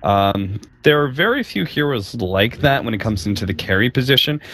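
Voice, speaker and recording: A man co-casting an esports draft in a studio, speaking in a measured, earnest way with frequent ums. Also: mid-range voice, animated, fairly bright tone, dry recording. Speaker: esports co-caster. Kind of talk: casual draft analysis.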